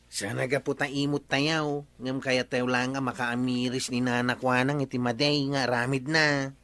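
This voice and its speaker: A man's voice: mid-range voice, flat delivery, normal speed, fairly bright tone, no echo, natural voice. A man with an Ilocano accent playing a grumbling, bossy village man in a radio comedy drama. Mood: scheming. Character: grumbling, grudge-bearing village man, bossy older brother.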